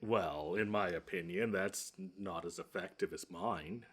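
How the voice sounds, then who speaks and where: A man plays a monster who lurks under a child's bed, in a deep, husky voice. He is grumpy and pompous, choosing fussy words and stretching out his threats. The delivery is a scripted audio roleplay with dry sarcasm. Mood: dry, scheming. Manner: pompous, wordy, drawn-out menace with dry sarcasm.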